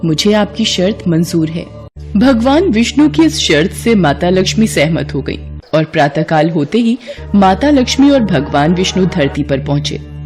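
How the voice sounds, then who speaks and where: Woman narrator reading a bhakti story, mellow warm tone, soft music in back. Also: fairly low pitch, sing-song, moderate pace. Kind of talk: scripted devotional storytelling.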